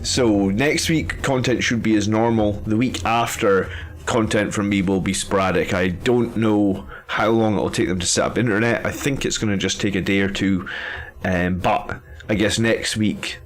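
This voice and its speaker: Stuffed-up guy rambling to his chat, kinda low voice, lots of ums. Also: steady tone, moderate pace. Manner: chatty, greeting viewers by name, with frequent ums and uhs. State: congested from a head cold, with a cough. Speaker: host of a live army-list stream. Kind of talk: casual live-stream chat.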